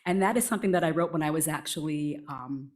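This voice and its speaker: A woman in her forties, born in Vietnam and raised near Boston, talking to a live audience in a chatty, self-deprecating way. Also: fast. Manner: chatty and self-deprecating, lively pitch swings. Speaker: guest speaker, writer. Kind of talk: casual talk to a live audience.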